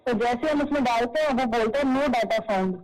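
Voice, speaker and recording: A woman's voice: fairly high pitch, moderate pace, darkish tone. A woman official explaining procedure step by step, repeating herself, on a played-back phone call recording. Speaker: government election official. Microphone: phone call recording replayed at press conference.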